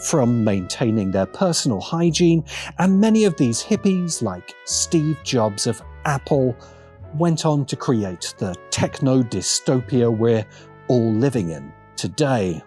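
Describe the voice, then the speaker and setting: Guy doing a video essay voiceover, really deliberate, lots of pitch movement, soft music under it. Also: sing-song. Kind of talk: scripted video essay narration.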